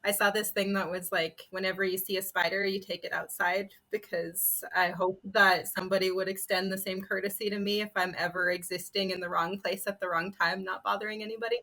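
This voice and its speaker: A woman's voice: mid-range voice, little pitch movement, fairly quick, hardly any pauses, sharp tone, rough recording. A woman on a storytelling panel, talking casually and conversationally while stressing her points. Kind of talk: casual panel discussion talk.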